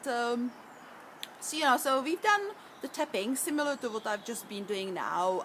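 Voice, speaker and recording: A woman's voice: on the high side, a little hiss or hum. Soothing woman guiding tapping. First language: Czech. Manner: soft, soothing and encouraging. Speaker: EFT tapping practitioner. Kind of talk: guided tapping session and personal story.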